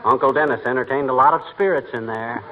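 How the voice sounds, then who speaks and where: An actor playing a blustering, wisecracking middle-aged husband with a folksy American delivery in a 1940s radio comedy. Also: male voice, medium pitch, fast, close to an everyday voice. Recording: noisy, muffled old radio recording.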